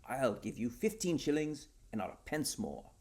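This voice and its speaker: A man voicing a haughty, irascible Duke in a story, pompous and scornful, with a gravelly voice. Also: medium pitch, big pitch swings, moderate pace, darkish tone, voice pushed up. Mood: haggling.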